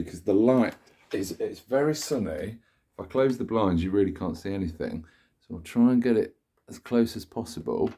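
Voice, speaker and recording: Low, dark-voiced man with a stuffy cold. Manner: chatty, meandering, self-correcting. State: nasal from a cold. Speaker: vacuum cleaner collector. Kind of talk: casual show-and-tell demonstration. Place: sunny room indoors.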